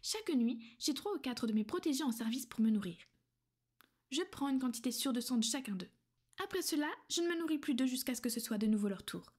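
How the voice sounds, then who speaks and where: Woman playing a soft-spoken vampire noblewoman. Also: sits high, expressive, brisk. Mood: calm, matter-of-fact. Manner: soft, soothing, often whispered. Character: gentle, possessive female vampire noblewoman. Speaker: ASMR roleplay performer. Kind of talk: ASMR roleplay.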